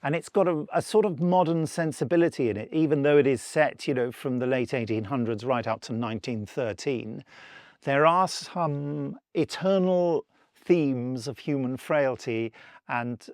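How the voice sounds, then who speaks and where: A man's voice: mid-range voice, moderate pace, dry recording, clean studio sound. A man chatting enthusiastically in an interview as someone who makes audio dramas. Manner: enthusiastic, acts out imagined quotes. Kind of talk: interview chat.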